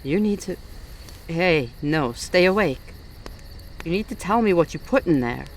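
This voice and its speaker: A man playing a wary fae guard of the Autumn Court, stern but soft-hearted, in a roleplay audio drama spoken straight to the listener in an accusing, wry manner. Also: fairly high pitch, animated, unhurried, slight background noise, rough recording. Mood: worried.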